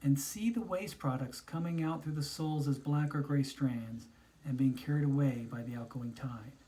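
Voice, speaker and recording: A man narrating a scripted guided meditation in a soothing, instructional way, pausing between phrases. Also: mid-range voice, a little mellow, low-quality recording.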